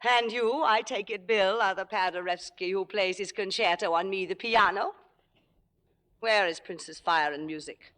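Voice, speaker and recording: An actress playing a proud, jealous, middle-aged theater star, theatrical and sarcastic, in classic film drama style. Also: female voice, mid-range voice, normal speed, fairly bright tone, close to an everyday voice. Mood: cutting sarcasm. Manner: biting, sarcastic, grandly theatrical. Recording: reverberant old film soundtrack, large hall.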